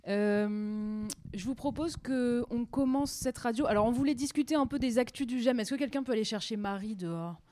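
A woman's voice: a little high, normal speed, hardly any pauses. A young woman hosting a community radio show from a shared center room, chatting casually and steering the conversation. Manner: relaxed, chatty, leads the talk. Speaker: radio host.

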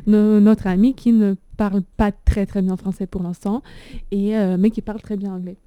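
A young actress chatting hesitantly, with many ums, recorded on a propped phone in a small room. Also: female voice, mid-range voice, flat delivery, normal speed, mellow, smooth, dry recording. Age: young adult. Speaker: actress and poet. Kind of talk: casual chat.

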